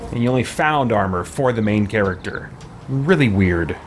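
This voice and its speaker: A man's voice: fairly low pitch, animated, moderate pace. A man thinking aloud in casual game playthrough commentary, muttering to himself with mild exclamations. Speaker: gaming video host. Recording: clear background noise.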